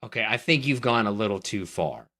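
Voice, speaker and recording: Guy cohost, lowish voice, ribbing his buddy, rates everything. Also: expressive, fairly quick, sharp tone. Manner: teasing, judging and rating his co-host. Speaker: podcast co-host. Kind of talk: casual chat.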